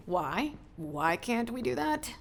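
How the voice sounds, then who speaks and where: Audio drama role: clipped, wry medical boss, gravelly fry, animated pitch, loud background noise. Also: female voice, slow, exaggerated voice. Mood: sarcastic, brisk. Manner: clipped, sarcastic. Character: dry, sarcastic female medical officer in charge. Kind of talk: naturalistic audio drama dialogue.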